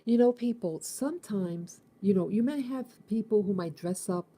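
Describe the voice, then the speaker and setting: A woman in her late fifties from the United States with a deeply mellow, dark tone. She talks through a morning reflection as the host. She thinks aloud, restarting phrases and circling back on her words. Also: breathy edge. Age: 50s. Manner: halting, repeats and restarts, musing. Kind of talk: casual spoken morning reflection.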